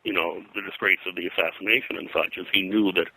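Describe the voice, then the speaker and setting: A man's voice: low voice, steady tone, fast talker, creaky, sharp tone, slightly rough, dry recording, rough recording. An older man telling rambling anecdotes as an interview guest, drifting into digressions and lists of names. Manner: digressive, name-dropping storyteller. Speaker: pop culture historian and guest.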